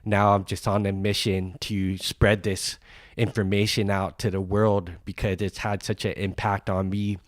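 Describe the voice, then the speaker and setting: A man with a very low, flat voice, nasal and stuffed up from a cold. He rambles through a podcast introduction with plenty of ums and restarts, recorded in a dry, close space. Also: unhurried, dry recording. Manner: rambling, many fillers and restarts. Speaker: podcast host.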